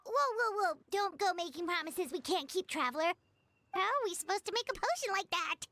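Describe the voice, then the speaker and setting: Tiny chirpy fairy companion for a game: very high, wildly animated, childlike and boastful. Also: female voice, brisk, no echo, exaggerated voice. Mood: alarmed. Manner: refers to self in third person, exclamatory. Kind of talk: video game cutscene voice acting. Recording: game music behind the voice.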